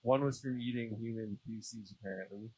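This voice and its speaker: A man's voice: on the low side, normal speed, mellow, breathy edge, dry recording, poor audio. A Canadian man chatting casually as an interview guest, informal and slangy. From Saskatchewan, Canada. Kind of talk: casual chat. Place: small aircraft cockpit.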